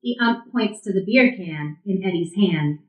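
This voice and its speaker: A woman's voice: on the low side, a little slow. A woman reading scripted stage directions as the narrator of a play. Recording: roomy, echoing sound.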